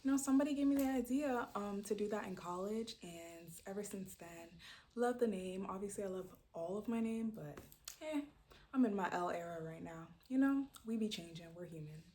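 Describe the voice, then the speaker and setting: A woman in her early twenties from Brooklyn, New York, chatting casually to camera as she introduces her channel, talking freely with many fillers and sudden jumps in pitch for emphasis. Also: lively intonation, moderate pace, warm tone, slight background noise. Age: 20s. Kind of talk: casual direct-to-camera chat.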